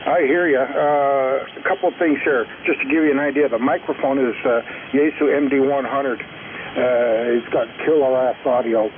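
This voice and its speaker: A man's voice: medium pitch, fairly even intonation, moderate pace. A man chatting casually and enthusiastically over two-way radio, with a slangy way of talking. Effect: two-way radio transmission. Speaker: amateur radio operator. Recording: narrow tinny sideband radio, faint static.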